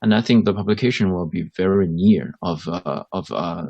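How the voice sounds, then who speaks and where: A man's voice: deep voice, moderate pace, gravelly, a little mellow, breathy edge, dry recording, highs cut off. A man with a Chinese accent, a hospital doctor, gives his views in a panel discussion with many fillers and restarts. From China.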